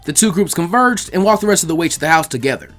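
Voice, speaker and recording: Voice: medium pitch, lively intonation, quick pace, a bit bright, faint music behind. A narrator reading a fan fiction story aloud, acting out the dialogue. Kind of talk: scripted story narration with character voices.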